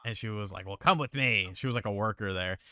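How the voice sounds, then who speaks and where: A man hosting a casual gaming aftershow, reading viewer messages and telling animated, profanity-laced stories. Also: on the low side, fast talker, dry recording, clean studio sound. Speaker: podcast host reading super chats. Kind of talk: casual chat.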